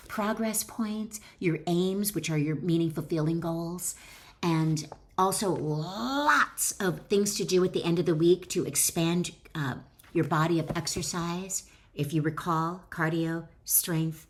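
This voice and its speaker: A woman speaking as an author and wellness teacher, addressing the listener directly in emphatic, deliberate phrases as she instructs and reads from her book. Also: on the low side. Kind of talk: instructional talk mixed with expressive reading aloud from own book.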